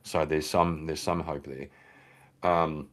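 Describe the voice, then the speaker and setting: A man speaking as a guest in a casual panel discussion, measured and hesitant with frequent ums. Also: a bass voice, flat delivery.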